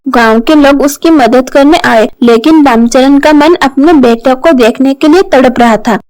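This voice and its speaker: A woman's voice: sits high, a little mellow, low-quality recording. A woman storyteller reading a scripted story narration. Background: background music.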